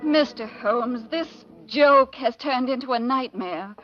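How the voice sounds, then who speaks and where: Haughty titled lady in old radio mystery, highish voice, formal and emphatic, muffled old recording. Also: slow pace, faint music behind. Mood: distressed, insistent. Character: proud, indignant well-bred titled lady.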